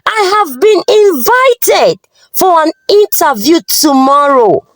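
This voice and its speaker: A woman with a Nigerian accent voicing an excitable, boastful husband in a folktale, exclaiming dramatically. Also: high voice, slow pace, no echo, studio quality, pitched above the performer's own voice, exaggerated voice. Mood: excited, shouting news. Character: excitable, boastful husband, adult man, exuberant. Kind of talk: folktale character dialogue.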